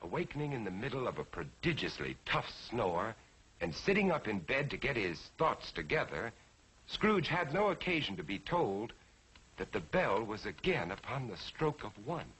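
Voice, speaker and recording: A man's voice: medium pitch, sing-song, normal speed, a bit bright, slightly rough, poor audio. A man giving formal, theatrical literary narration for a 1940s television drama. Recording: old 1940s television soundtrack, music behind.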